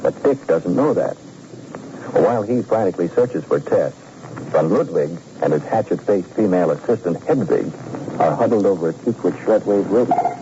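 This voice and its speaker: A man's voice: sits low, expressive, moderate pace. A man narrating a detective serial in scripted 1940s radio style. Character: male storyteller of a detective serial. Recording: muffled vintage radio recording, faint noise.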